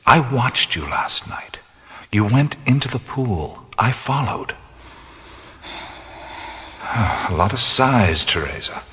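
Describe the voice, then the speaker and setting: An actor playing a weary, reflective adventurer in a radio play, speaking slowly and often in a hush. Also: male voice, medium pitch, sing-song, in a large hall, slight background noise, full character voice. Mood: brooding. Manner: slow, reflective, often hushed. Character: wry, weary adventurer-mystic man. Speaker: lead character.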